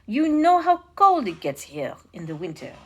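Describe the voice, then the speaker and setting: A woman's voice: a little high, big pitch swings, normal speed, fairly bright tone, pitched above the performer's own voice. A woman voicing a worried, polite royal counselor from a storybook, careful and deferential, with an attempted French accent.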